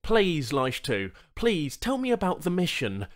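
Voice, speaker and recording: A man's voice: a little high, huge pitch swings, measured pace, voice pushed up. A man voicing a friendly, patient human spacefarer with a plain-spoken, tactful manner in audiobook dialogue. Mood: curious, polite.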